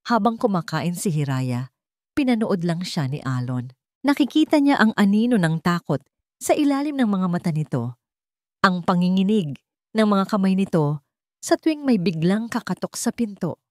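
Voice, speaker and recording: A woman's voice: medium pitch, big pitch swings, normal speed, a little mellow, dry recording, clean studio sound. A woman with a Filipino accent narrating a scripted dramatic story like an audiobook.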